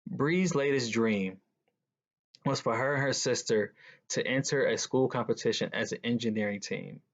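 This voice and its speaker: A man's voice: mid-range voice, moderate pace. A man reading a children's picture book aloud as a guest reader. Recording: dull, lo-fi sound.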